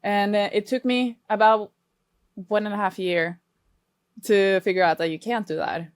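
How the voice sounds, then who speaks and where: A woman's voice: mid-range voice, steady tone, moderate pace, dry recording, studio quality. A Norwegian woman in her twenties, a podcast guest, speaking English with a Norwegian accent in an earnest, reflective way. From Norway. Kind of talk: casual podcast interview.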